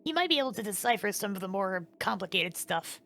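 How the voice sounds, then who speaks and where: A woman voicing a curious, plucky, informal teenage girl in an anime fandub, casual and expressive. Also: medium pitch, fast talker, creaky, slight background noise. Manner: casual, expressive, talks to herself.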